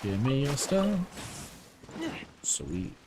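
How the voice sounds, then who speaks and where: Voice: medium pitch, very animated, drawn-out words, noisy background. A game streamer giving casual live commentary in playful, muttered asides. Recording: loud game music behind commentary.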